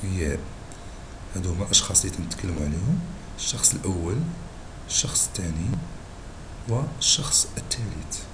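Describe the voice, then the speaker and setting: Man, very low voice, Moroccan accent, husky, hoarse with a cold, patient math teacher. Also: expressive, noisy background. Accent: Moroccan Arabic. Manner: slow and patient, checking in with listeners. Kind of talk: screen-recorded math lesson.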